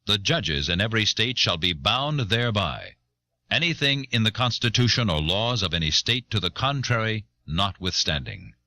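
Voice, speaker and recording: A man's voice: fairly low pitch, sing-song, normal speed, sharp tone, no room sound, exaggerated voice. A man voicing a formal reader of constitutional law, solemn and declamatory, in an audiobook. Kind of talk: solemn quotation reading in an audiobook.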